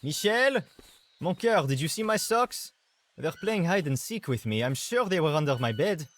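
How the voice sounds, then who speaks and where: A man's voice: on the high side, sing-song, moderate pace, fairly bright tone, slight background noise. A man playing a messy, romantic, slightly nervous boyfriend in a game cutscene, earnest and theatrical, with French endearments. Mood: playful, sheepish. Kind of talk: dramatic game cutscene acting.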